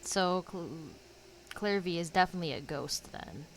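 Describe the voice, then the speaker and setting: A woman streamer reacting to a game story, talking casually in slangy, quick asides. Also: lowish voice, a little hiss or hum. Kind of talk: casual live reaction commentary. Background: game music.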